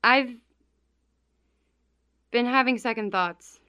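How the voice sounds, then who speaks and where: A woman's voice: on the high side, slow, clean tone, muffled recording. An actress playing an anxious, guilt-ridden wife who killed her husband, in a horror audio drama. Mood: uneasy, hesitant.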